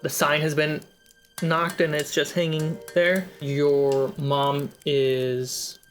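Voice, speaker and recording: A game master narrating a tabletop adventure in a relaxed, descriptive way, prompting players. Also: mid-range voice, takes it slowly. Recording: faint music behind.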